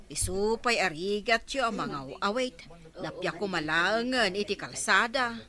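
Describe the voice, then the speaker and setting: A woman's voice: mid-range voice, expressive, measured pace, a crowd in the background, close to an everyday voice. A woman with an Ilocano accent playing an outspoken village woman in a radio comedy. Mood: questioning.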